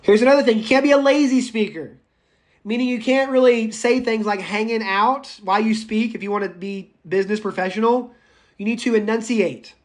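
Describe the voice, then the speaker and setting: A raspy-voiced male public speaking instructor lecturing a live online class, speaking loudly without a microphone, chatty and prone to tangents. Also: sits high, fairly even intonation, a little fast. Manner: speaks loudly, projecting as if shouting; enthusiastic, goes off on tangents and laughs. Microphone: no microphone, voice caught by the room recorder.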